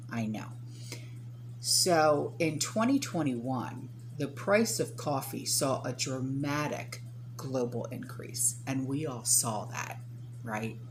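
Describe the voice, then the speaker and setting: Female vlog host, very deep and slow, chatty with tag questions; humming background. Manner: chatty, keeps checking in with "okay?" and "right?". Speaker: prepping channel host. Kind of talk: casual talk to viewers. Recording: steady hum behind the voice.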